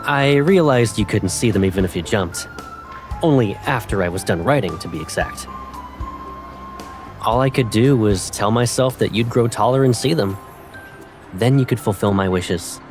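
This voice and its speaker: A man's voice: on the low side, expressive, moderate pace, pauses often, natural voice. A man playing a teasing, affectionate young man, a protective childhood friend, in a fan dub of a romance game. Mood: teasing, nostalgic. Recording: faint music behind.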